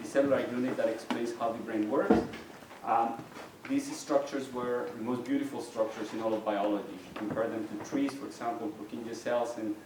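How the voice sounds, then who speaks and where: A middle-aged man, a native Spanish speaker, explains patiently as a lecturer, often restarting his phrases. His mid-pitched voice comes from some distance away. It rings through a very echoey hall over faint background noise. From Mexico. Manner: explanatory, with frequent restarts and fillers. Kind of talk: university lecture.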